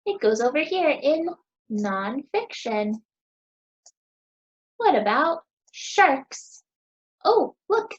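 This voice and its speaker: An American woman in her thirties teaching young children, asking questions in a sing-song, encouraging way with deliberate pauses. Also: high voice, highs cut off. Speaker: teacher hosting a children's story time.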